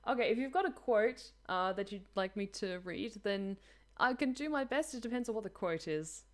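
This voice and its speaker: A woman's voice: medium pitch, expressive, normal speed. A woman streaming a game, chatting casually with her viewers, self-deprecating and talkative. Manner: chatty, self-deprecating, reads chat aloud.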